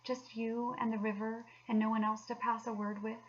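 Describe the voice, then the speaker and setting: A woman's voice: fairly high pitch, flat delivery, normal speed, dull audio, pitched above the performer's own voice, close to an everyday voice. A woman voicing a timid, polite male mole in a children's storybook, with eager, tentative questions. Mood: timid, curious. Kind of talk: children's storybook read aloud.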